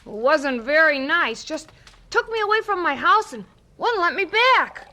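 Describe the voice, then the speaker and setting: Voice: very high voice, sing-song, a little slow, pushed and bright, exaggerated voice. An actor playing an eager, earnest little boy, the youngest of a gang, in a 1970s radio drama. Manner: earnest, inquisitive. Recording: noisy old radio recording.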